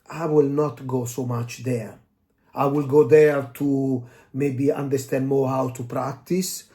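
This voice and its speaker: Guy w non-native english, soft mellow tone kinda high, halting dance coach chatting, says you know a lot. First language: not English. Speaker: dance coach and podcast co-host. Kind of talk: casual discussion, explaining.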